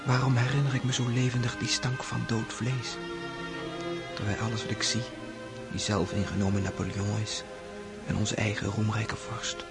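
An actor playing a troubled, disillusioned young soldier in an intense radio drama inner monologue. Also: male voice, lowish voice, flat delivery, normal speed, loud music behind the voice, natural voice. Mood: haunted. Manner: intense, haunted.

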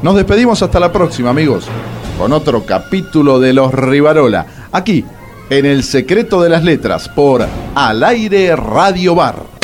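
A man with a Rioplatense Argentine accent narrating a radio drama in a wry, theatrical way, with dramatic pauses. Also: on the high side, animated, loud music behind the voice.

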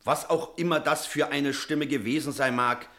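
An actor playing an energetic, rational village priest in a German radio drama, speaking in a decisive, emphatic way. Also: male voice, fairly high pitch, normal speed, natural voice. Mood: stern, reasoning. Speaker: character in a radio play.

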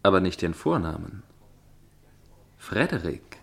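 A gentleman storyteller in a radio play, his voice on the low side. He is formal and measured, with a probing, suspicious edge, as if quietly investigating over dinner. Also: very animated, gravelly, heavily acted. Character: inquisitive, suspicious gentleman narrator, a salon dinner guest. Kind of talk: German radio play acting and narration.

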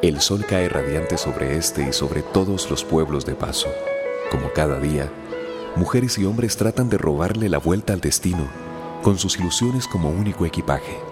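Very deep-voiced man narrating solemnly. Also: animated, normal speed. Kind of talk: scripted radio drama narration. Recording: music behind voice.

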